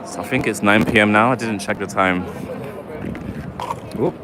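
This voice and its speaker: Guy vlogging live on a walk, low-ish voice, loud traffic noise behind. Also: normal speed. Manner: chatty, wry, talks to live chat. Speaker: livestream vlogger. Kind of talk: walking livestream commentary. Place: city streets at night, outdoors. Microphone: handheld phone or camera mic while walking. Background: traffic and street ambience.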